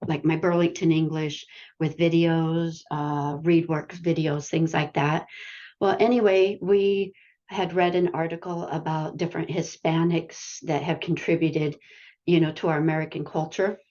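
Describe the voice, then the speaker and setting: A woman's voice: deep voice, a little mellow, highs cut off. A woman adult-education teacher casually telling a classroom story on a video call, chatty with lots of fillers. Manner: chatty, many fillers, laughs while telling. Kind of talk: casual anecdote sharing.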